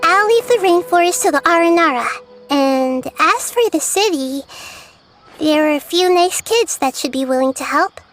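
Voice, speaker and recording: A woman voicing a small, girlish goddess of wisdom in a video game, soft, gentle and reassuring. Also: high-pitched, lively intonation, normal speed. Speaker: Lord of Dendro. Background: game music.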